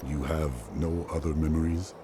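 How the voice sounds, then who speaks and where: A man's voice: a bass voice, little pitch movement, normal speed, background noise. A man voicing a stern, resolute hunter in a video game. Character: stern male hunter on a quest.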